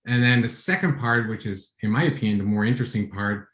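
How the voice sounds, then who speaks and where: A man in his forties from the Netherlands with a Dutch accent, giving a relaxed tech talk over a video call, with many fillers and restarts. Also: on the low side, quick pace, roomy sound. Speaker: conference speaker. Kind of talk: online tech talk with screen share.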